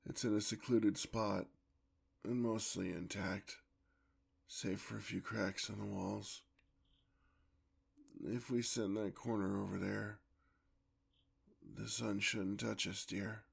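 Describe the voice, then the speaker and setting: Man acting as a weary, husky, slow-spoken gentleman vampire. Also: on the low side, flat delivery, exaggerated voice. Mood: apologetic, affectionate. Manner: formal, old-fashioned, endearment-laden speech. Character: weary, tender, courtly centuries-old male vampire. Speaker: ASMR roleplay performer. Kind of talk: ASMR roleplay acting.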